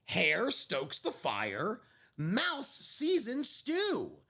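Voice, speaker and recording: A woman reading a picture book aloud to young children, expressively, with drawn-out dramatic phrasing and friendly asides. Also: medium pitch, huge pitch swings, sharp tone, slightly rough. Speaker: children's storyteller.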